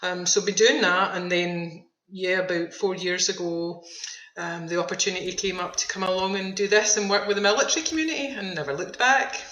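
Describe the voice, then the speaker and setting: A woman's voice: on the low side, fairly quick, fairly bright tone. A woman answering interview questions in a chatty, enthusiastic, conversational way. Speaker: veterans' activity centre manager, interview guest. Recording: roomy, echoing sound.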